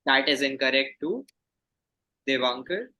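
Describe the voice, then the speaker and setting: A man's voice: medium pitch, expressive, measured pace, pushed and bright, rough recording. A man reading quiz questions aloud as quizmaster, formal and courteous in manner.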